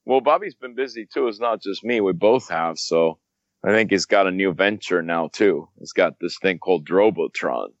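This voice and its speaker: A man's voice: sits low, normal speed, no echo, highs cut off. A man who grew up in South America, a podcast guest chatting casually and laughing often, rambling with restarts. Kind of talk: casual chat.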